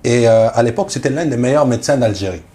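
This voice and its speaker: A man's voice: medium pitch, fast talker, mellow. A man from Algeria, a missionary guest, telling his personal religious testimony in short phrases with rhetorical questions.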